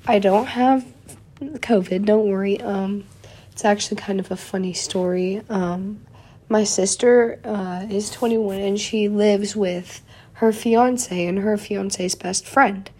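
A woman's voice: medium pitch, steady tone, takes it slowly, a little mellow, breathy edge. A young woman, hosting a casual solo podcast, a little stuffy from a cold and chatty with lots of fillers. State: slightly congested from a cold. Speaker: podcast host.

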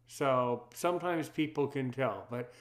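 A man in his thirties whose first language is French, talking in an earnest, reflective way in a relaxed conversation, with a deep voice. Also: unhurried. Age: 30s. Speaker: conversation partner. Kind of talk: casual chat.

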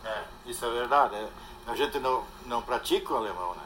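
A man's voice: medium pitch, fast talker, pushed and bright, slight background noise. An older man, a guest speaking casually and hesitantly, often trailing off with a questioning tag. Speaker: interview guest. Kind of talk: casual conversation. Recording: faint background ambience.

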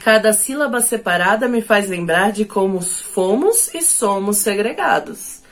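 Woman, Brazilian Portuguese accent, spoken word poet, declamatory, mid-range voice, sharp shifts in pitch and loudness. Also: lively intonation, measured pace. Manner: declamatory, with sudden drops and surges in pitch and loudness. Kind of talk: spoken word poetry performance.

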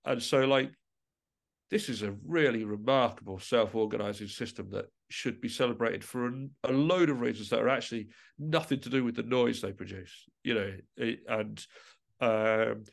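A man speaking as a musician guest in a reflective interview, halting and often rephrasing mid-sentence. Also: medium pitch, gravelly.

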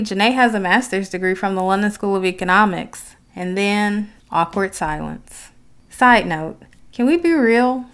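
A woman's voice: medium pitch, a bit bright, smooth. A woman from Detroit with an American accent, hosting a reflective solo podcast in an articulate, conversational way. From Detroit, United States. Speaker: podcast host.

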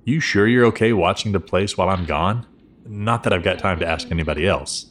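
An easygoing middle-aged professor father acted by a man in an audio drama. His voice is deep and his talk is casual and understated, like everyday conversation. A faint ambience sits behind him. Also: brisk, a little hiss or hum, natural voice. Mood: tired, easygoing.

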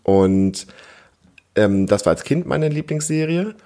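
A man's voice: medium pitch, animated, slow, mellow. A man in his thirties co-hosting a family podcast as a parent, chatting casually with a child, explaining simply and asking prompting questions. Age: 30s.

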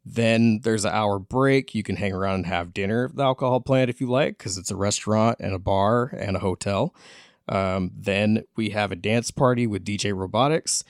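A man's voice: on the low side, normal speed, no room sound, clean studio sound. An American man hosting a podcast, casually promoting events and chatting with guests. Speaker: podcast host.

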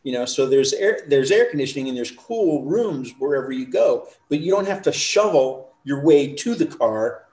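A man's voice: mid-range voice, expressive, normal speed, few pauses, darkish tone, reverberant. A middle-aged man from the United States, chatting easily as a podcast guest. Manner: easygoing, chatty storytelling. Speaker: interview guest. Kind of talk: casual chat.

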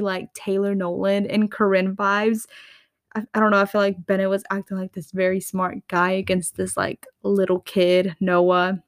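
A woman's voice: medium pitch, dry recording, clean studio sound. A woman hosting a casual recap podcast, chatty and gossipy, often laughing between thoughts. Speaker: podcast host.

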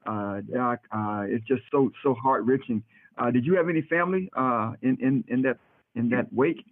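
A man's voice: mid-range voice, normal speed. A middle-aged man hosting a radio interview in a casual, effusive, brotherly way, often repeating his phrases. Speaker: radio host and pastor. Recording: muffled phone-like radio audio, roomy echo.

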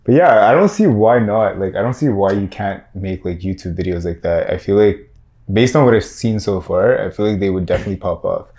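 Low-voiced man, informal interviewer. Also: lively intonation, fairly quick, dark tone. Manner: informal, slangy, agreeable. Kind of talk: casual chat.

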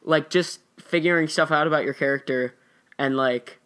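A man's voice: fairly high pitch, fairly even intonation, normal speed, fairly bright tone, smooth. A young American man chatting casually as an actor guest on a podcast. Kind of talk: casual chat.

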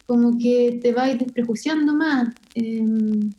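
A woman's voice: on the high side, slow, dark tone. Hesitant Chilean woman, muffled sound. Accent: Chilean Spanish. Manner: thoughtful, hesitant with many fillers. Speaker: illustrator guest. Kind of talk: casual interview conversation.

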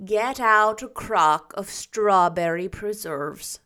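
A woman putting on a strict older guardian who scolds and commands firmly, in a bright, forceful voice raised above the story's calm telling. The lines come slowly and deliberately, with a storybook's measured care. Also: mid-range voice, clean tone. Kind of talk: audiobook character reading.

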